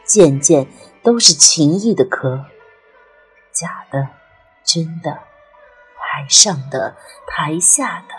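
Slow, breathy low-voiced woman storyteller. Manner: measured, expressive storytelling. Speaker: audiobook narrator. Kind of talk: dramatic literary narration. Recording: music under the voice. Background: background music.

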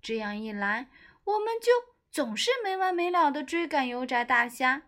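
A woman voicing a small, indignant young apprentice chef with pouty, fast exclamations in a storybook. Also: high voice, lively intonation, fairly bright tone. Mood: exasperated.

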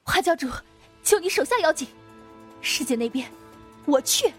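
Woman acting a lively, high-voiced young swordswoman. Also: moderate pace, faint music behind. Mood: flustered, alarmed. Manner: hesitant, coaxing, theatrical. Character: playful, earnest young female swordswoman, a junior disciple. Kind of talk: Chinese wuxia radio drama acting.

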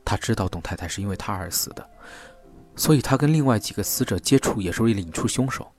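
A man acting a sombre investigator in a Chinese audio drama, recounting things gravely and in a measured way. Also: fairly low pitch, expressive, a little mellow, faint music behind, lightly acted. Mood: sombre, explanatory.

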